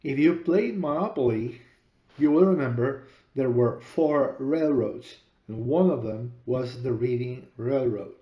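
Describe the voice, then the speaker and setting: A middle-aged man from Peru, a native Spanish speaker with a Spanish accent, hosting his own podcast and telling his story in a measured, deliberate way. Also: mid-range voice, roomy sound, lo-fi sound. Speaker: podcast host and author. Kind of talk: storytelling monologue.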